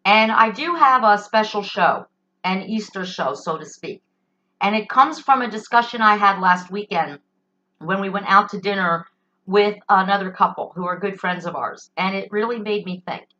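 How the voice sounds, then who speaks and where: A woman's voice: medium pitch, moderate pace, pushed and bright. A middle-aged American woman hosting a solo talk show, giving an emphatic, indignant opinion monologue in a conversational style. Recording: dull, lo-fi sound.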